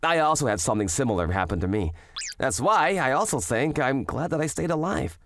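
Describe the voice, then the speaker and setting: Man acting as an animated, earnest young office worker. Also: medium pitch, brisk, no echo, close to an everyday voice. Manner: animated, expressive, swings between narration and exclamation. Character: earnest young male office worker telling his own story. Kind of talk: manga dub narration and dialogue. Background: music.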